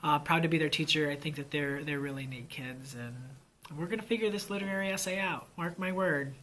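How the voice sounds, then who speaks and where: Enthusiastic teacher-vlogger, male, crisp bright tone, rambling chatty delivery. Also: a little high, fairly quick. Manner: enthusiastic, rambling with many fillers. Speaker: fifth grade teacher. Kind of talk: casual vlog chat.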